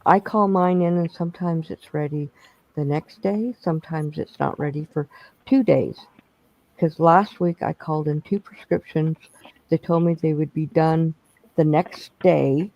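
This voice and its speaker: A man's voice: fairly high pitch, steady tone, measured pace, no room sound, dull audio. A man chatting casually on a bingo stream, rambling through stories with restarts. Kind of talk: casual chat.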